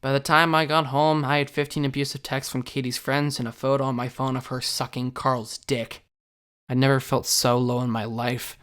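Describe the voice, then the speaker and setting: Man voicing a sardonic low-key teenage boy, music behind. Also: a bit bright, lightly acted. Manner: sardonic, confessional. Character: awkward self-deprecating teenage boy, wannabe superhero. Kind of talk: comic dub narration and dialogue. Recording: music under the voice.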